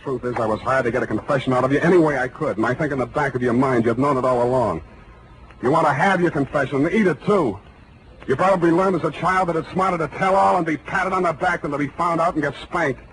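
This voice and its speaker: An actor playing a cynical, blunt, wisecracking American private detective in 1940s radio drama style. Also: male voice, fairly high pitch, fast, noisy background, natural voice. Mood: scornful, firm. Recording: vintage radio broadcast with music.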